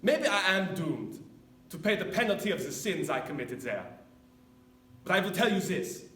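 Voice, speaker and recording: A man's voice: high-pitched, big pitch swings, sharp tone, full character voice. Man acting a haunted older ex-officer, echoey room. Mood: resolute, defiant. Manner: deliberate, solemn, with weighty pauses. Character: haunted, repentant older former camp officer, solemn and defiant. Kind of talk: dramatic stage monologue. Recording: echoey room, distant mic, faint noise.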